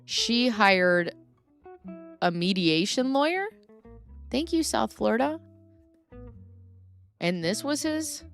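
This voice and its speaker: A woman's voice: fairly high pitch, lively intonation, slow, pauses often, soft background music. A woman hosting a live stream, reading messages aloud and chatting back to her viewers by name.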